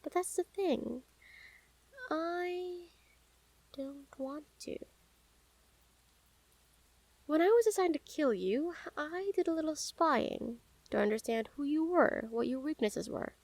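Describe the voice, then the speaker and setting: A woman playing a calm, menacing yet smitten assassin in an intimate audio roleplay, speaking softly and teasingly with deliberate pauses. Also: high voice, no room sound. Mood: smitten, confiding. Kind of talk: intimate scripted audio roleplay addressed to the listener.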